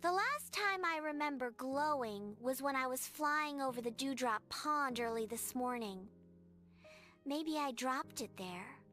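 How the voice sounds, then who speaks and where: A woman's voice: sits high, lively intonation, a little slow, pushed and bright, soft background music, pitched above the performer's own voice, exaggerated voice. A woman voicing a tiny, timid, sad little fairy girl in a bedtime story, shy and plaintive. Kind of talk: children's bedtime storybook dialogue.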